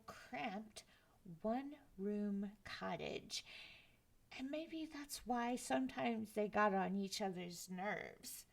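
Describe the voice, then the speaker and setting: A woman storyteller reading a children's story aloud in a gentle, expressive way. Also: mid-range voice, gravelly. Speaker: library storyteller.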